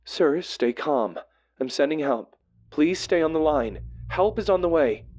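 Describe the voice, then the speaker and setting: A man voicing a calm female emergency dispatcher in a horror story, measured and professional with steady concern. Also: a little high, pitched above the performer's own voice. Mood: urgent concern. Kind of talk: horror audiobook character acting.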